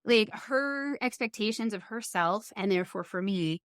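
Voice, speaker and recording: A middle-aged woman talks in a candid podcast conversation. Her pitch sits in an ordinary female range, and she thinks aloud earnestly with pauses. The sound is dry, with little room around her. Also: lively intonation, no room sound. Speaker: podcast host.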